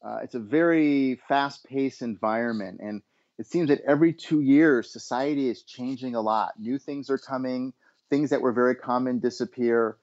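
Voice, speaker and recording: A middle-aged American man from Los Angeles, answering interview questions as a consultant in a measured way with hesitant restarts. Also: medium pitch, a little mellow. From Los Angeles, USA. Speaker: guest, education consultant. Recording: dull lo-fi sound.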